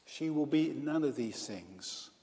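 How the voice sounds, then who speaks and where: A male priest and hospital chaplain preaching a baptism sermon, slow and emphatic, with repeated lists. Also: medium pitch, animated, mellow. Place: church with long reverb. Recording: reverberant church hall.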